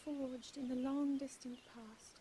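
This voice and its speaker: A woman playing an earnest, scholarly young princess in a video game, speaking formally with careful, breathy emphasis. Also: high voice, steady tone, a little slow, dark tone, a little hiss or hum. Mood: solemn, ceremonial. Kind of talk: video game cutscene acting.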